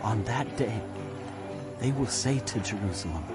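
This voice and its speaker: Grave, slow-speaking prophet narrator. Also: male voice, lowish voice, animated, over music. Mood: exultant. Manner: solemn, declamatory, deliberate. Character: grave male prophet proclaiming doom and hope. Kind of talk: dramatic scripture narration.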